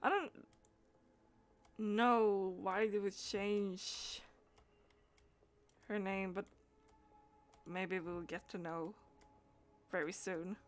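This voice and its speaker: A woman's voice: mid-range voice, fairly even intonation, extremely slow, long pauses. A woman doing casual live game commentary, rambling and giggly as she reacts aloud to what happens on screen. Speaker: game streamer.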